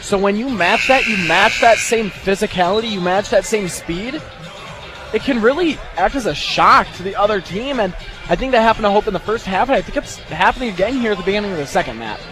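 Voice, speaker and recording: High-voiced man, color commentator. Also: animated, a little fast, over music. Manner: conversational, explanatory. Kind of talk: live radio sports analysis.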